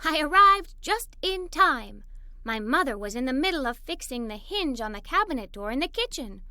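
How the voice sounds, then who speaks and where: A woman plays an eager, imaginative little girl narrating her own storybook adventures. The voice is high and bright, with animated, swooping intonation. The delivery is expressive and enthusiastic, made for young listeners. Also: moderate pace, no room sound, full character voice. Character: Molly, excited adventurous little girl telling her day. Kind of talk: children's read-aloud story narration.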